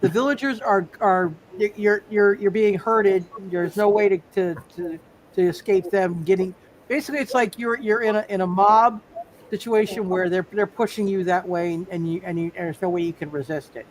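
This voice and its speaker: A man acting as game master of a superhero roleplay, halting and often repeating his phrases as he improvises. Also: high voice, rough recording. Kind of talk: live tabletop superhero roleplay, improvised narration.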